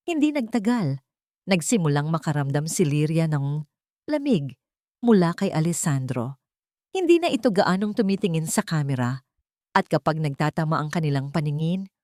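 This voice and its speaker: A woman narrates a dramatic audiobook. Her voice is slightly low and very mellow, with soft edges. The recording is dry, with no room sound. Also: no room sound. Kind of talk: scripted dramatic story narration.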